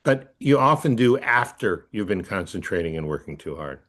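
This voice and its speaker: Low-voiced man explaining thoughtfully. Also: big pitch swings, moderate pace, fairly bright tone. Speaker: interviewed copywriting coach. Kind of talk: conversational interview explanation.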